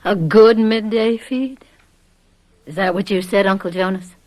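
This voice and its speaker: An actress playing a young, resigned farm wife in a radio drama, wary and pleading, with a hesitant, placating manner. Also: female voice, mid-range voice, steady tone, a little slow, a little mellow, lightly acted. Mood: indignant.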